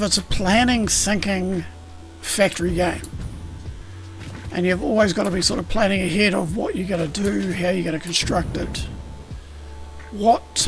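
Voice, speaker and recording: Male streamer with a high, slightly croaky voice, talking through a game over clear background music. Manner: rambling, self-correcting, explanatory. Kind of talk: casual gameplay explanation.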